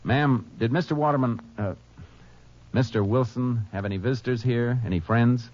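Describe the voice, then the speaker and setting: An actor playing a calm Texas Ranger who narrates a 1950s radio drama, talking in a steady, matter-of-fact way. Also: male voice, medium pitch, normal speed, close to an everyday voice. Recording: dull lo-fi old radio recording with faint hiss.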